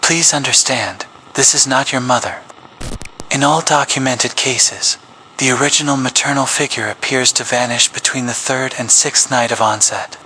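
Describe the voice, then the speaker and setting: A man reading a scripted public safety announcement as an emergency broadcast announcer, in a grave, measured official tone. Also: medium pitch, pushed and bright, a little hiss or hum, rough recording. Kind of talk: scripted horror narration.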